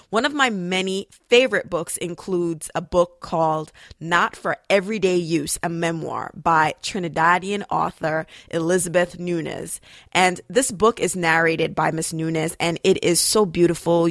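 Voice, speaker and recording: A woman's voice: lowish voice, gravelly, a bit bright, no echo, clean studio sound. A woman hosting a podcast and interviewing a guest, bubbly and enthusiastic, with plenty of casual fillers. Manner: bubbly, enthusiastic, many "you know"s. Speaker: podcast host.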